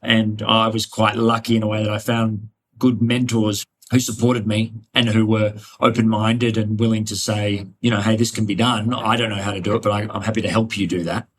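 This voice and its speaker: A man's voice: fairly low pitch, little pitch movement, normal speed, few pauses, poor audio. An Australian man answering interview questions conversationally, in a reflective, discursive manner. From Hunter Valley, New South Wales, Australia. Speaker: interview guest, cardiologist.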